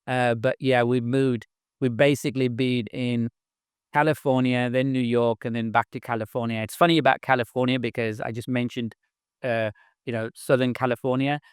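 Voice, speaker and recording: A man's voice: medium pitch, little pitch movement, normal speed, smooth, dry recording, studio quality. Chatty Birmingham-accented middle-aged man. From England. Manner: chatty, frequent "right?" tags and light laughs. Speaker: startup founder, guest. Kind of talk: casual podcast interview.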